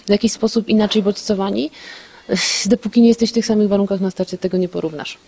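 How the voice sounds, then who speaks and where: Candid athlete woman, quick restarts. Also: medium pitch, steady tone, warm tone, husky. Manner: candid, quick, with many restarts and light laughs. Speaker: athlete being interviewed. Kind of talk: casual post-race interview.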